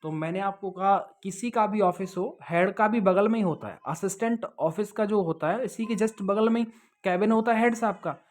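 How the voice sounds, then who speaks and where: A man answering viewers' questions in a casual, advising way, often checking that the listener follows. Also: high voice. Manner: conversational, advising, often checks with "okay?" and "understood?". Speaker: host answering viewers' questions about police jobs. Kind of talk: casual explanatory Q&A to camera.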